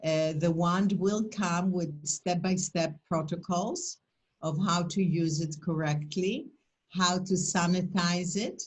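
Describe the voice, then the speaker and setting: A woman's voice: lowish voice, steady tone, slow pace, a little hiss or hum. An older woman from Poland with a Polish accent, presenting and answering questions in a webinar over a video call, speaking in an emphatic, motivational way.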